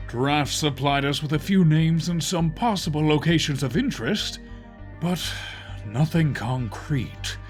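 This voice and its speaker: An actor playing a serious, measured police chief in an audio drama, briefing officers in a grave, deliberate way. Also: male voice, a little high, soft background music, close to an everyday voice. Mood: serious, businesslike.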